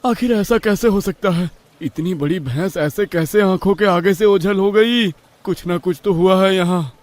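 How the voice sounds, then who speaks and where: Man acting a high-voiced, anxious young servant herdsman. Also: normal speed, natural voice. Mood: musing, puzzled. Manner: pleading, deferential. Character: poor, honest, anxious village herdsman servant. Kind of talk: Hindi horror story character dialogue.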